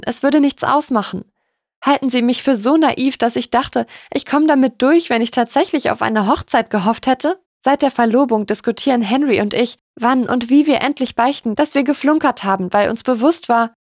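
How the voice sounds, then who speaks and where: A female narrator plays a young fiancée from a novel, earnest and urgent. Her voice is raised in pitch and emotional, pleading her case in a dry, close recording. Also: darkish tone, no room sound, lightly acted. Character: earnest young fiancée desperately defending herself.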